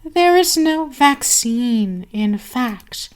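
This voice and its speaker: A woman playing a cruel, seductive vampire queen in an ASMR roleplay, speaking haughtily and deliberately, with lingering pauses and breathy whispers. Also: a little high, lively intonation. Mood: haughty scheming.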